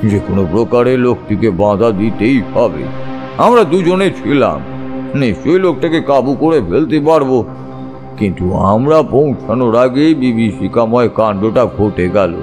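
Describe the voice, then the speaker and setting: A man narrating a scripted first-person ghost story in a suspenseful, measured storytelling manner. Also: mid-range voice, big pitch swings. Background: background music.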